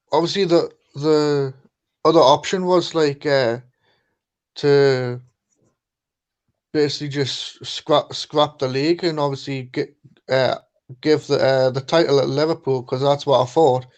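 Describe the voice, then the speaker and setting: A man joining a casual chat, speaking haltingly with many fillers and restarts. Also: mid-range voice, steady tone, darkish tone, muffled recording. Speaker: guest on stream.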